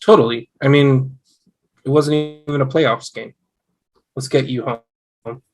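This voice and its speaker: A man's voice: medium pitch, fairly even intonation, unhurried, music in the background, close to an everyday voice. Man acting a dry young husband. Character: anxious young husband, stubborn, dryly humorous. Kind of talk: amateur table read acting over video call.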